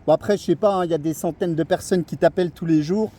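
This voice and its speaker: A man's voice: a little high, steady tone, fast talker, warm tone. A middle-aged man from France chatting casually as a radio operator, hesitating and searching for his words.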